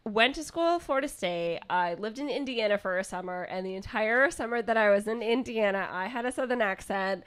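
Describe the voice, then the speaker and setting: A woman's voice: mid-range voice, brisk, hardly any pauses, no echo. A woman with a Midwestern accent hosting a casual comedy podcast, reading a listener letter and riffing on it with lots of restarts. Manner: animated, lots of restarts and asides. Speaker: podcast host.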